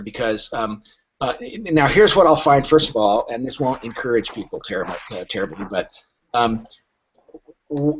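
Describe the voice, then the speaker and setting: A man's voice: on the low side, a bit bright, husky. A middle-aged or older man answering interview questions as a literary agent, speaking frankly and emphatically and acting out imagined dialogue. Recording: dull lo-fi podcast audio.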